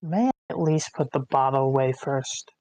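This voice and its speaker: A man playing an infected widower in a screenplay table read, dreamy and hopeful, with a halting delivery. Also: medium pitch, a little mellow, natural voice. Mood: wistful. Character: infected widower, dreamy, clinging to hope, weakening.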